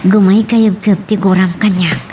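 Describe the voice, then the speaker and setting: A woman's voice: mid-range voice, fairly even intonation, normal speed, close to an everyday voice. A woman playing an earnest young female student who works in a bar, in an Ilocano drama. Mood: remorseful, pleading. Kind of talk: Ilocano radio-style drama acting. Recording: clear background noise.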